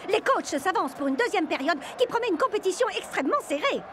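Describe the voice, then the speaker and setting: A woman's voice: extremely high pitch, very animated, quick pace, noisy background. An actress voicing a female sports commentator in a cartoon dub, calling the match. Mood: commentating.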